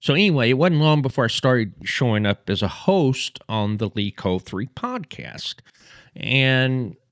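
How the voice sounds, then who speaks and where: A man in his fifties with a Texas accent, host of a history channel, telling a personal story in a halting way with many fillers and restarts. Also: medium pitch, fairly bright tone, no room sound, studio quality. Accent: Texan.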